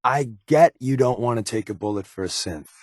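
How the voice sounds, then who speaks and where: An actor playing a wry, secretive spy in a video game, with a glib, offhand, conversational delivery. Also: male voice, medium pitch, normal speed, darkish tone, no echo, clean studio sound, lightly acted. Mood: sarcastic. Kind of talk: video game companion dialogue.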